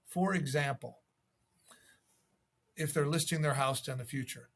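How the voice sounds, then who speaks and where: A man's voice: fairly high pitch, measured pace, fairly bright tone. A man giving instructional sales tips as a coach, in a conversational manner. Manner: conversational, acts out sample calls. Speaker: real estate sales coach.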